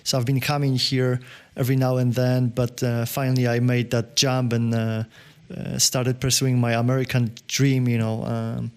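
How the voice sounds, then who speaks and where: A man from Poland, answering interview questions as a podcast guest in a halting way, full of fillers and restarts. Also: mid-range voice, flat delivery, clear, resonant tone. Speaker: podcast guest, business owner.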